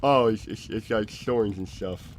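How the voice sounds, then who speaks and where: A man's voice: fairly low pitch, unhurried, lightly acted. A man playing an awkward, well-meaning cartoon walrus pal in an improvised livestream, hesitant and rambling as he stammers along. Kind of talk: improvised in-character livestream roleplay. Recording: clear background noise.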